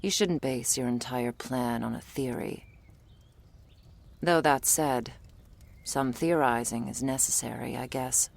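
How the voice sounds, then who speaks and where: A woman playing a jaded, prickly monster hunter in a roleplay audio drama, blunt and sardonic, with a low gravelly voice. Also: heavily acted.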